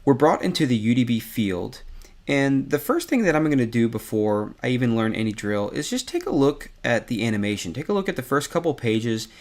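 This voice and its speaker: A man narrating a step-by-step software tutorial as an instructor, in a conversational, explanatory way. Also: medium pitch, animated, a little fast.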